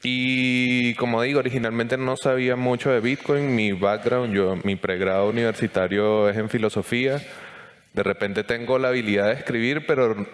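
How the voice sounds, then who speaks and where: A man's voice: medium pitch, steady tone, moderate pace. A Venezuelan man with a Venezuelan accent, telling his own story as a panelist at a conference. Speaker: panelist, journalist. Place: conference stage in a hall. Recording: long hall reverb.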